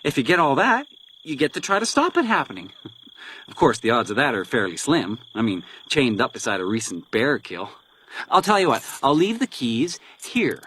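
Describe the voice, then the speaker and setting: A man's voice: mid-range voice, wildly swooping pitch, moderate pace, a bit bright, slight background noise, poor audio. An actor playing a sadistic, theatrically playful male villain in a radio crime drama. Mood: gleeful taunting. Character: sadistic, taunting male villain who plays deadly games.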